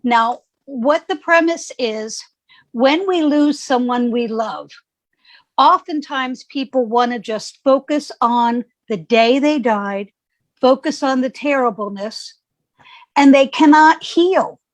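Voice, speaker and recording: Woman in her sixties, high voice, slow and measured, dry sound. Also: dry recording. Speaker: guest, grief counselor and author. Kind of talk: interview guest.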